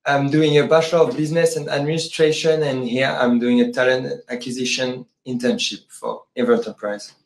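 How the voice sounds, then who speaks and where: A man's voice: medium pitch, fairly even intonation, a little fast, hardly any pauses. A young man from France, a native French speaker, talking about his internship in careful English. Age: 20s. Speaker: talent acquisition intern. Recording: echoey meeting room.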